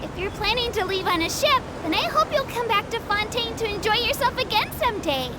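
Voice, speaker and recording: Tiny, very high-pitched creature tour guide. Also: female voice, expressive, brisk, heavy background noise. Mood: bright, helpful. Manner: chirpy, polite, presentational. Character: small childlike Melusine creature, cheerful, earnest female tour guide. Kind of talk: scripted game voice-over, tour announcements. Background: game music.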